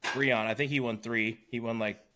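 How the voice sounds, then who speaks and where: A man in his mid-thirties co-hosting a casual chat, easygoing and quick to agree. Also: medium pitch, steady tone, pushed and bright, a little hiss or hum. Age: 30s. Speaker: podcast co-host.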